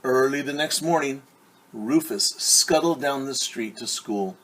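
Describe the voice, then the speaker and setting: A man who is a teacher reading a picture book aloud to young children, pausing to explain things simply. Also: medium pitch, a bit bright, slight background noise, low-quality recording. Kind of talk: children's storytime read-aloud with asides.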